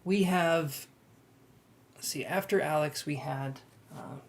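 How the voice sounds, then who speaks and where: A young man reading tarot on a live stream, chatting and gently reassuring his viewers. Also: on the high side, slow. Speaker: tarot reader.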